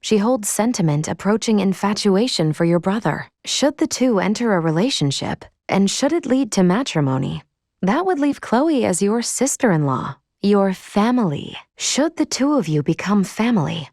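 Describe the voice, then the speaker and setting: A woman voicing a composed female android in a video game, speaking formally and precisely with a measured, deadpan delivery and dry care. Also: medium pitch, no echo. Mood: dry, exasperated. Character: composed female android crew member, formal and dryly caring. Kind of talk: scripted video game voice acting.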